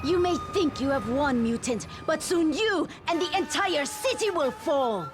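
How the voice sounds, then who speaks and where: A woman's voice: high-pitched, lively intonation, a little slow, over loud music. A woman voicing a haughty, menacing ninja villain in a cartoon video game, speaking theatrically. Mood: scornful, threatening.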